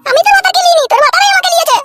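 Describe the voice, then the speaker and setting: A jealous cartoon wife, with an extremely high, squeaky voice. She scolds and quarrels at a breakneck pace, with music playing underneath. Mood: furious. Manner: scolding, rapid-fire quarrelling. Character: shrill, foul-mouthed, jealous wife in comedy cartoon. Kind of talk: amateur comedy cartoon dialogue.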